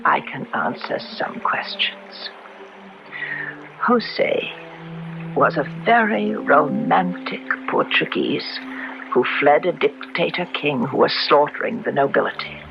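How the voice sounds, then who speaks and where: A woman's voice: low voice, lively intonation, slow pace, gravelly, over music, exaggerated voice. An actress playing an enigmatic, composed young female ghost in an old radio drama, cool and evasive. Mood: composed, recounting. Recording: noisy, dull lo-fi radio recording.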